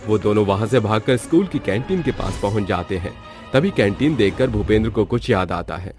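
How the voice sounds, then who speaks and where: A man's voice: lowish voice, animated, a little fast. A man reading scripted horror story narration as the narrator. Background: music.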